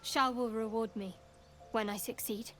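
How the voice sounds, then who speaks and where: A woman's voice: a little high, fairly even intonation, measured pace, a bit bright, faint music behind. An actress playing an earnest, devoted woman in a video game.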